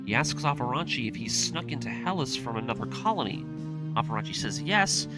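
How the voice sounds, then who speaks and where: A man's voice: medium pitch, lively intonation, brisk. A man reading a scripted story recap as the narrator of a video essay. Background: music.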